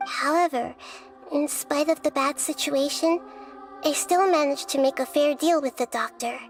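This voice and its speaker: Soft-spoken girl goddess of wisdom, high and measured, game music faint behind. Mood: calm. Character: gentle young girl goddess of wisdom, calm and thoughtful. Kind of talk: video game dialogue.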